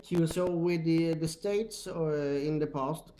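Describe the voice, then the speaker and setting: A man's voice: a little high, a little slow, mellow. A man with a Swedish accent chatting casually as an amateur radio operator over two-way radio, searching for words in English. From Sweden. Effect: two-way radio transmission. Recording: noisy two-way radio with clicks.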